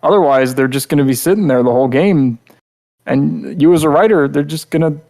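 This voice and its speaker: A man's voice: fairly high pitch, a little fast, gravelly, a little mellow. Rambling, blunt male podcast co-host. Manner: rambling, blunt, heavy use of filler "like". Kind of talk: casual podcast critique.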